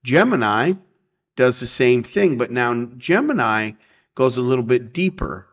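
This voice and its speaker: A man's voice: medium pitch, very animated, measured pace. Man, casual tutorial, slightly dull sound. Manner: informal, explanatory, checks in with "okay" and "right". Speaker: tutorial presenter. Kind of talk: casual screen-share software tutorial.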